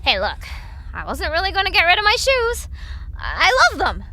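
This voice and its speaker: A woman playing a worried, snarky, protective young woman in an audio drama, expressive and sarcastic. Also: very high voice, normal speed, full character voice. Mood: exasperated, sarcastic. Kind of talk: audio drama character acting.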